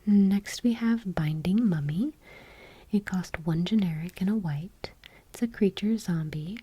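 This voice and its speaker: A woman reads aloud in an ASMR style, barely above a whisper. Her voice is very breathy and soft, slightly low, with a dark, relaxed tone. The delivery is gentle and unhurried. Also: dry recording. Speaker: host of an ASMR channel. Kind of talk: ASMR soft-spoken card reading.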